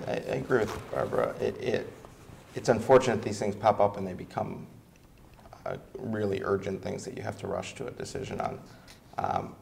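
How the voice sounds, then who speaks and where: An American man giving an informal, halting and tentative answer as a council candidate. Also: on the low side, gravelly, darkish tone, a little hiss or hum.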